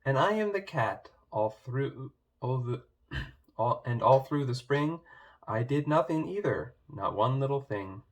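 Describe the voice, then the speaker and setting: A man's voice: mid-range voice, lively intonation, a little slow, close to an everyday voice. A man voicing a lazy, sheepish farmyard animal confessing in drawled storybook rhyme. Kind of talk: children's storybook read-aloud.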